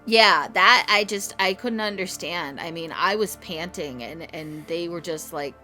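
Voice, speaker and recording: Bright, lowish-voiced woman in casual review. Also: measured pace. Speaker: co-host of a haunted attraction review. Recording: music under the talk.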